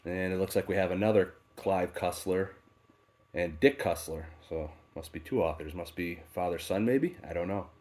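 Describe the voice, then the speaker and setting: A man's voice: sits low, normal speed, a little mellow. A man with a stuffy head cold, talking casually and unhurriedly through a pile of books, with many fillers. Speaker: book channel host. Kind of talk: casual unboxing talk. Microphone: off-camera voice, faceless video.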